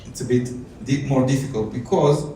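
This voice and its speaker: A man from Israel gives a technical talk in a large, echoey hall, heard from a distance off the mic with a faint hiss behind him. His voice is dark and mellow and sits at a middle pitch. He talks hesitantly, with many fillers and restarts. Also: fairly even intonation, normal speed. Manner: hesitant, many fillers and restarts, light self-amused laughs. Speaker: conference speaker. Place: conference hall.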